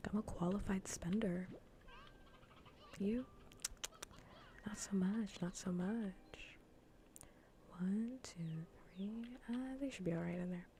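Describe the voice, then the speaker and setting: A woman whispering softly and breathily in an ASMR gaming stream, playfully bossy and dryly sarcastic, close to the microphone. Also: mid-range voice, slow, pauses often, a little hiss or hum. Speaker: livestreamer.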